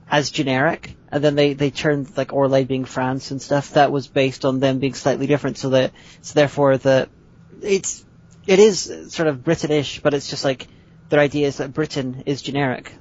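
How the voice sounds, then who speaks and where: A woman, co-hosting a podcast in casual chat and pausing often with "uh". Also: a bass voice, a little mellow. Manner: hesitant, fills pauses with "uh". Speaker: podcast co-host. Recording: dull lo-fi podcast audio.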